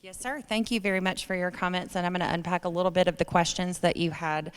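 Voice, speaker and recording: A woman at a podium microphone moderates a public town hall. Her voice sits low and comes through smooth and clean. She speaks in a courteous, measured way with small fillers, and a long reverb trails each phrase. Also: fairly even intonation. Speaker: state education official hosting a town hall. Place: large meeting room with a podium.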